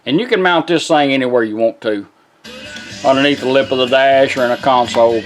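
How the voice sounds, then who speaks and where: A man's voice: mid-range voice, fairly quick, faint music behind. A man casually walks through a hands-on product demonstration in folksy, step-by-step fashion. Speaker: presenter demonstrating a car radio.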